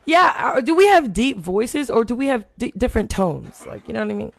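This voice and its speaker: A woman with a deep voice hosting a live talk show, chatting casually and rambling with laughs. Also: big pitch swings, fairly quick, gravelly, no echo. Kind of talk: casual live commentary chat.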